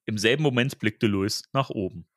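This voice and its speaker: A man's voice: lowish voice, moderate pace, fairly bright tone, no room sound, studio quality. A man hosting a podcast, reading a novel aloud and breaking off with giggly asides. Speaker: podcast host.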